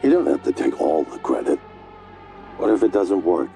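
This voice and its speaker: An actor playing a grave, skeptical scientist in a video game, sober and questioning. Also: male voice, deep voice, big pitch swings, moderate pace, vocal fry, mellow, soft background music, heavily acted. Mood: sober, doubtful. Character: grave, skeptical male scientist, older brother.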